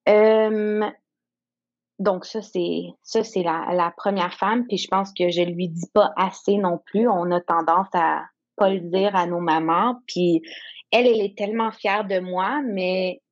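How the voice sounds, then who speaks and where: A woman in her thirties whose first language is English talks slowly and reflectively as an interview guest, often pausing to find her words. Her voice comes through a dull, lo-fi recording. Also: lowish voice, fairly even intonation. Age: 30s. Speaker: guest entrepreneur. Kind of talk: candid conversational interview.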